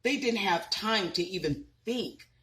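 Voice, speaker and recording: A woman in her thirties reading a children's picture book aloud, pausing to ask the listeners questions. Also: medium pitch, a little hiss or hum. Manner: expressive, asks listeners questions.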